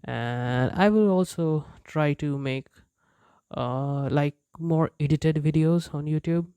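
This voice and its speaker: A young man talks casually to his viewers in English, which is not his first language, and he keeps circling back with fillers and restarts. His voice is a touch high for a man but warm and mellow in tone. The recording is dry and close, with no room sound. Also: slow pace, smooth, dry recording. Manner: rambling, frequent fillers and restarts, chuckles often. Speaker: YouTuber. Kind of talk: casual unscripted vlog chat.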